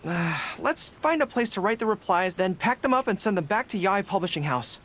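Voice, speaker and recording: A man's voice: high voice, lively intonation, brisk, a bit bright, slight background noise. A young man playing an earnest, awkward, easily flustered dog-eared general in an anime-style video game. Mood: earnest, hesitant. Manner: earnest, hesitant, boyish.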